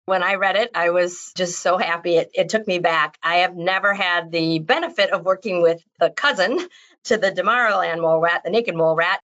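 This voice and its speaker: A woman's voice: fairly low pitch, brisk, a bit bright, muffled recording. A woman podcast host who is a veterinarian, reading a scripted intro and then chatting eagerly with her guest. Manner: enthusiastic, effusive.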